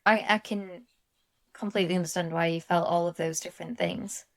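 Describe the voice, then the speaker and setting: A woman co-hosting a podcast in a casual chat, chatty and quick to agree and chime in. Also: on the low side, steady tone, fairly bright tone. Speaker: podcast co-host.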